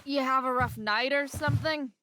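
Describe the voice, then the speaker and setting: A woman's voice: high voice, normal speed, pushed and bright, a little hiss or hum. A young woman playing a sarcastic, rebellious teenage punk girl in a video game, with casual slangy lines over game ambience. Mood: brash, needling. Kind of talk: video game dialogue and inner monologue.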